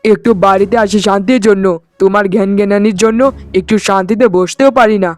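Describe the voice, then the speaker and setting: A man's voice: high voice, steady tone, quick pace, darkish tone, clear, resonant tone, no room sound, faint music behind, lightly acted. A man voicing an earnest village fish-farming brother in a cartoon family drama, talking emphatically. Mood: exasperated.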